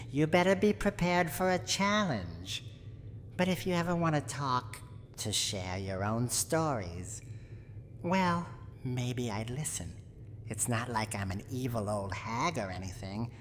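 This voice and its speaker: Very deep, slow tsundere granny played by a woman. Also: big pitch swings, full character voice. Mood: teasing, challenging. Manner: wistful, teasing bravado, slow dramatic delivery. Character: tough, guarded tsundere granny, secretly lonely and tender. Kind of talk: roleplay ASMR, scripted monologue. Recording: noisy, long reverberant tail.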